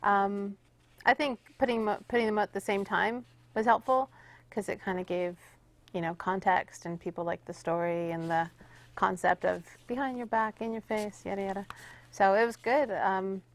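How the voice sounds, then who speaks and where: A woman's voice: medium pitch, steady tone, normal speed. A woman with a Canadian accent chatting informally as an interview guest, peppering her talk with "like". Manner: informal, says "like" often. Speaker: guest musician. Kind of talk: casual interview chat.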